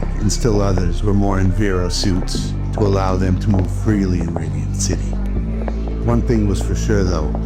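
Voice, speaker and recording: Man, low pitch, little variation, scripted story narration, heavy music behind. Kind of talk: scripted radio drama narration.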